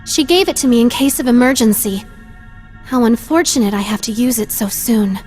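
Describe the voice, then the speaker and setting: Poised, refined high-voiced young lady woman acting. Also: lively intonation, normal speed, soft background music, close to an everyday voice. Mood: composed, wistful. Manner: formal, composed, gently theatrical. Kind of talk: dramatic video game acting.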